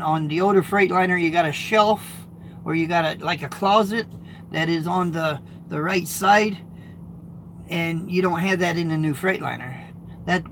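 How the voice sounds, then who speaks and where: A man's voice: fairly bright tone, a little hiss or hum. A middle-aged American man, a truck driver, chatting casually to his viewers from his truck, rambling and restarting sentences as he reads comments. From United States. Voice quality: high-pitched, gravelly. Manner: rambling, repeats words, frequent restarts. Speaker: truck driver and video host.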